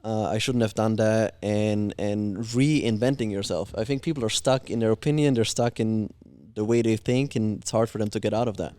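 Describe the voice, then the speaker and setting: A man's voice: on the low side, steady tone, moderate pace, few pauses, a little mellow, no echo. A man from Austria, a podcast guest talking bluntly and opinionatedly in casual chat. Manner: blunt, opinionated, swears freely.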